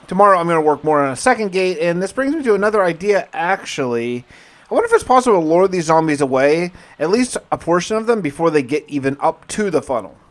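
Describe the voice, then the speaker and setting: A man's voice: on the high side, sing-song, quick pace. A man hosting a gaming video, narrating his play casually in the first person with wry, conversational musing.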